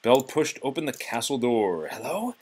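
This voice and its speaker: Animated man reading a storybook aloud. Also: on the low side, normal speed, slight background noise. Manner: expressive, prompting the child with questions. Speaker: storybook reader to a child. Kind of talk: children's read-aloud narration.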